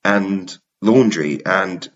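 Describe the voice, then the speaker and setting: A man playing a hesitant, deep-voiced, smitten lover. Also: extremely slow, muffled recording. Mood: hesitant, yielding. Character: hesitant, smitten office worker, torn and yielding.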